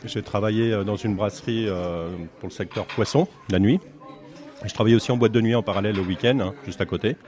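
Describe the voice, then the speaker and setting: A man's voice: on the low side, fairly even intonation, fast, a little mellow. A middle-aged French man who owns a café, chatting casually in an interview with many fillers and restarts. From France. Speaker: café owner.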